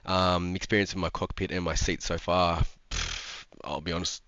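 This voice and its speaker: A man's voice: sits low, little pitch movement, moderate pace, pushed and bright, lo-fi sound, slight background noise. A young man, stuffed up from a cold, chatting casually about sim racing with lots of ums and restarts. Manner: rambling, frequent ums and restarts. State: congested from a cold. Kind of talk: casual commentary to camera.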